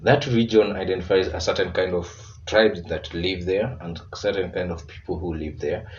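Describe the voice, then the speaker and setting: A man with a Kenyan accent hosting a reaction video in casual commentary, relaxed and searching for words with emphatic bursts. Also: sits low, normal speed. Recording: distant muffled mic with faint hiss.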